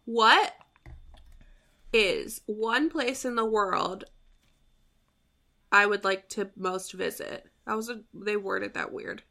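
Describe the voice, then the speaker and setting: Bright-voiced woman, sleepy casual co-host. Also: mid-range voice, slow pace, creaky, pauses often. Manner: sleepy, laughing, rambling. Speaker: podcast co-host. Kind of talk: casual chat.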